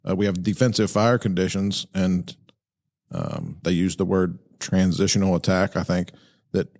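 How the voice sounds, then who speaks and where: A man giving conversational commentary as a podcast host, explaining things in a halting, stop-and-start way. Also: on the low side, darkish tone. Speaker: podcast host breaking down fire radio traffic.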